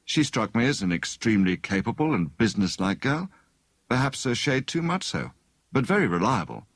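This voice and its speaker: A man voicing a genial, encouraging young gentleman amateur detective in an audiobook story, speaking in a breezy conversational way. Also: mid-range voice, very animated, normal speed, no echo. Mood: considering.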